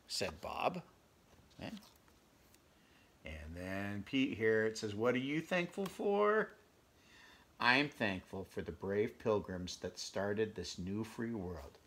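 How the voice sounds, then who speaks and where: A man in his fifties with a gravelly voice reads a picture book aloud, adding chatty, conversational asides for young listeners. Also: on the low side, very animated, slow pace, pauses often, a bit bright. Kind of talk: children's read-aloud with casual asides. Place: small furnished bedroom, indoors.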